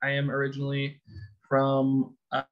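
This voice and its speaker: A man's voice: medium pitch, completely flat delivery, moderate pace. Young man, from Colorado, easygoing, fillers, muffled lo-fi call audio. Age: young adult. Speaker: orientation leader. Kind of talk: casual panel introduction and advice.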